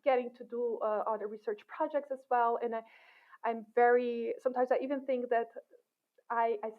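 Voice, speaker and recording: A middle-aged woman from Brazil with Portuguese as her first language talks in an animated, chatty way, breaking into laughter and restarting her thoughts. Her voice sits a little high. The sound is thin and tinny, as over a phone line. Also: normal speed. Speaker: guest, assistant professor. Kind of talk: casual interview chat.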